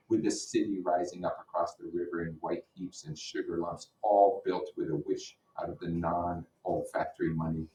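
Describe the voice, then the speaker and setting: A man's voice: low-pitched, dark tone. A man teaching, reading a novel aloud in an informal way with blunt asides. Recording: roomy echo, distant microphone.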